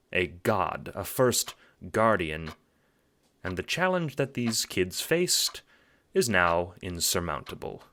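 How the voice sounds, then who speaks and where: A man's voice: lowish voice, a bit bright. A man reading scripted, dramatic narration for an audiobook-style drama.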